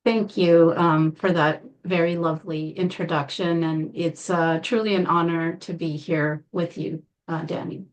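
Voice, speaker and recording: A woman speaks as an interview guest, her voice on the low side. She talks in a calm, measured way, often pausing with fillers as she works through hard memories. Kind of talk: interview, personal account.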